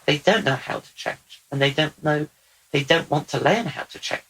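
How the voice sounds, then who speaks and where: A man speaking as a channeled otherworldly male being, slow, deliberate and repetitive. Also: on the high side, a bit bright, slightly rough, poor audio. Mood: earnest. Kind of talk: channeled spiritual talk.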